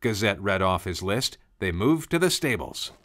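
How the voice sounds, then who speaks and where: A man's voice: low voice, very animated, moderate pace, a bit bright. A man narrating a scripted story in a folksy, conversational way, with asides to the listener.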